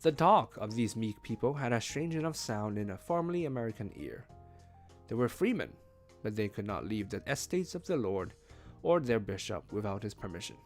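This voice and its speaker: A man reading a novel aloud as a streamed read-along, with expressive, emphatic phrasing. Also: lowish voice, moderate pace, soft background music. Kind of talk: read-along audiobook narration.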